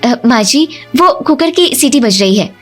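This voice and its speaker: Woman acting a high-voiced, earnest young daughter-in-law. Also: lively intonation, a little slow, faint music behind. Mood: defensive, polite. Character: young educated daughter-in-law, clever, polite but insistent.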